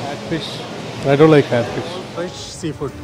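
A man's voice: on the high side, measured pace. A man with a South Indian accent giving casual walk-through commentary in short remarks, often checking with a tag question. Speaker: vlogger shopping with a young companion. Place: busy supermarket seafood and produce aisles. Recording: loud ambient noise throughout.

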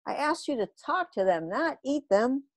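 A woman's voice: mid-range voice, sing-song, normal speed, darkish tone, no room sound, clean studio sound. A woman voicing a commanding female superhero rabbi who gives firm orders.

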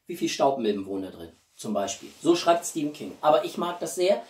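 A man in his forties chatting casually as a video blogger, with halting speech and enthusiastic asides. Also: on the high side, very animated, a little mellow. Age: 40s. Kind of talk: casual chatty vlog presenting gifts. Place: small living room, indoors. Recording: roomy echo, distant microphone.

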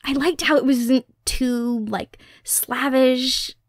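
A woman's voice: high voice, steady tone, slow, no room sound, studio quality, exaggerated voice. A young woman playing a dorky, enthusiastic horror-nerd girlfriend in an ASMR roleplay, rambling casually and musing aloud. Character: dorky, Halloween-obsessed girlfriend, nerdy and enthusiastic. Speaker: voice actor in audio roleplay.

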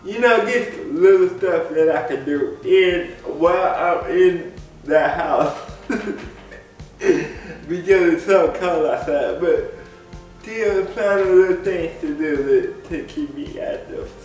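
A man's voice: sits high, animated, a little mellow, faint music behind. Congested young man, echoey far-off sound. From United States. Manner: rambling, halting, laughs often. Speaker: vlogger. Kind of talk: casual chat to camera. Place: living room with big windows. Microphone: camera across the room.

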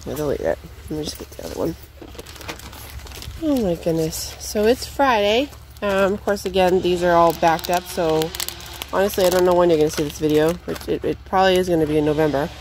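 A young woman chatting casually while she feeds her backyard chickens, rambling and cooing at them in a high voice, recorded on a handheld phone outdoors. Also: lively intonation, a little fast, darkish tone, a little hiss or hum. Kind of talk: casual off-the-cuff vlog chat.

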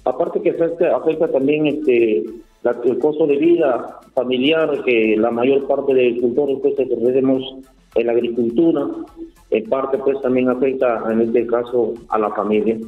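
A Peruvian rice farmer speaking in a phone interview, hesitant, with many fillers and restarts. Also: male voice, medium pitch, steady tone, normal speed, soft background music. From Peru. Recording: echoey tinny phone line.

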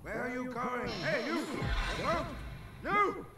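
An actor playing a crazed old ship captain in a video game, theatrical, ranting and repeating himself. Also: male voice, extremely high pitch, expressive, slow, over loud music, exaggerated voice. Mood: panicked. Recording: distant, very noisy game audio.

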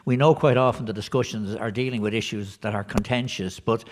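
A man's voice: on the low side, fairly even intonation, a little fast. A middle-aged man answering a question on a conference panel in a function room, reflective and hesitant with restarts. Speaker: panel member for community radio.

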